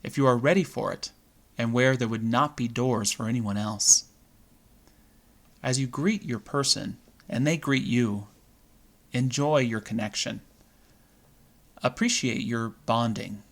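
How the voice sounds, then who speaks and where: A man with a mid-pitched voice leads a guided visualization meditation. He delivers it in measured, deliberate phrases, leaving space between them as a meditation guide does.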